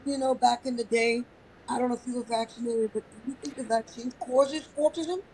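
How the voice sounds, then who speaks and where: A man with a high, feminine-sounding, raspy voice, chatting casually and bluntly as a guest and asking naive questions. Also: steady tone, a little fast, darkish tone, slight background noise, rough recording. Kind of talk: casual chat.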